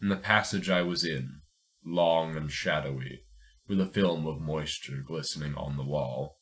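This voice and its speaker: A man's voice: extremely low pitch, unhurried, a bit bright. A man hosting a fiction podcast, reading a story aloud and commenting on it. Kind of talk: dramatic story narration and casual commentary.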